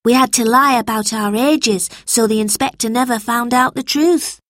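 A woman's voice: fairly high pitch, normal speed, a bit bright, dry recording, clean studio sound, voice pushed up, close to an everyday voice. A woman voicing an anxious, earnest boy who works in a factory, in a storybook reading. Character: child factory worker boy, worried for his friend.

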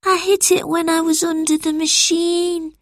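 A woman's voice: extremely high pitch, little pitch movement, measured pace, no room sound, studio quality, pitched above the performer's own voice. A woman voicing a hurt, weak seven-year-old boy who whimpers in pain, in a storybook reading. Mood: hurt, whimpering.

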